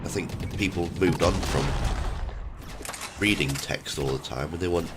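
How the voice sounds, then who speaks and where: A man hosting a gaming livestream, chatting casually in a halting way and trailing off as he plays. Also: low-pitched, very noisy. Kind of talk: casual chat over gameplay. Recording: loud game gunfire behind the voice. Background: video game gunfire and combat sounds.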